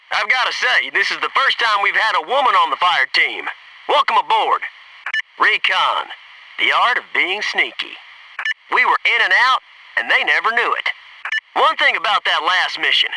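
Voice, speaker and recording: Bright, high-voiced cocky American commando. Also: male voice, recorded from a distance, a little hiss or hum, exaggerated voice, rough recording. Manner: punchy, wry, eager banter and callouts. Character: cocky, wisecracking young Navy SEAL soldier. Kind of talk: video game military voice acting.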